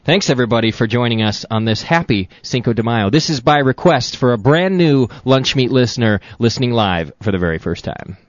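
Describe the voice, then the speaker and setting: Male talk-show host, animated and playful, dull lo-fi dry sound. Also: medium pitch, brisk, few pauses. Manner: laughs often, playful teasing. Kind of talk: casual comedic talk show banter. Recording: dull lo-fi 2000s recording, dry.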